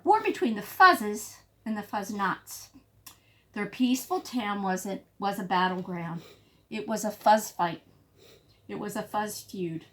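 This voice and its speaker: A woman teacher reading a picture book aloud to young children, emphatic, pausing to explain and prompt. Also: medium pitch, pushed and bright. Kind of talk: expressive storybook read-aloud for young children.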